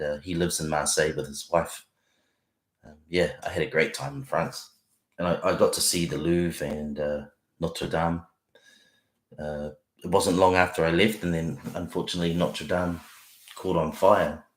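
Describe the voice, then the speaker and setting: Croaky nz guy in his 40s, low voice, rambly guitar livestream, echoey little room. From New Zealand. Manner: rambling, friendly, many fillers and restarts. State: croaky morning voice, clearing throat. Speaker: guitar teacher. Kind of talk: casual livestream lesson. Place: small home office.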